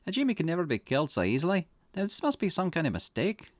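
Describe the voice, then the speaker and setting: A man's voice: a little high, wildly swooping pitch, fast, dry recording, studio quality, pitched above the performer's own voice. A man voicing an anxious young woman with amnesia in a visual novel game, puzzling things out aloud. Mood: dawning alarm. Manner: puzzled, thinking aloud while investigating.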